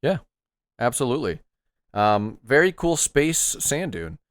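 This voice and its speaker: A man's voice: medium pitch, big pitch swings, unhurried, no echo, studio quality. A man hosting a podcast, chatting casually about retro games in an upbeat, agreeable way and asking his co-host questions.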